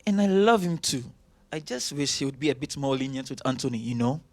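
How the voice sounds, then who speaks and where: An actor playing an affectionate, teasing young doctor and favoured son in an audio drama, earnest and playful. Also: male voice, mid-range voice, expressive, a little fast, darkish tone, no echo, close to an everyday voice. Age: young adult.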